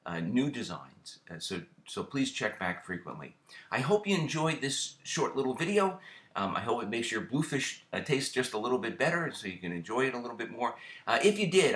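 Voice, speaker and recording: A middle-aged man hosting a fishing channel, explaining a how-to in a relaxed, casual way with lots of ums and repeated words. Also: lowish voice, roomy sound.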